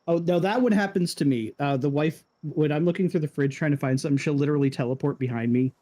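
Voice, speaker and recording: A man's voice: on the high side, quick pace, warm tone. A man joining a game stream as a guest, joking around and teasing himself in casual chat. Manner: joking, self-mocking banter. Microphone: voice chat call.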